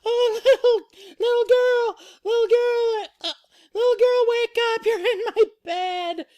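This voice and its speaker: Squeaky, excitable little baby bear. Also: female voice, measured pace. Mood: giggly, insistent. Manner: squealing, repeats words, bursts into wails. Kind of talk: children's storytelling.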